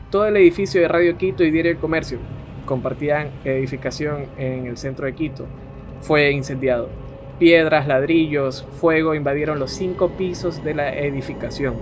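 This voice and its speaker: A man co-hosting a podcast, telling a story in a conversational way. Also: on the high side. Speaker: podcast co-host.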